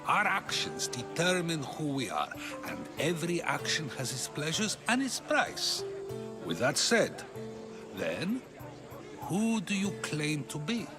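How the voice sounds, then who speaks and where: An actor playing a probing, articulate ancient Athenian philosopher in a video game, musing and questioning. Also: male voice, a little high, wildly swooping pitch, takes it slowly, heavily acted. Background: game music.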